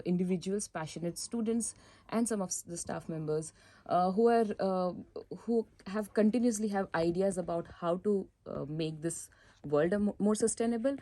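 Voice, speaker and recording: A woman's voice: on the low side, mellow, no room sound. A woman from Mumbai, explaining things earnestly with some fillers as society president on a campus radio chat show. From India, Mumbai.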